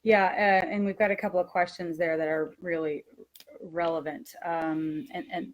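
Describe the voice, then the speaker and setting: A woman's voice: fairly low pitch, fairly even intonation, normal speed, low-quality recording. A woman speaking as a presenter and teacher trainer in an informal, conversational webinar.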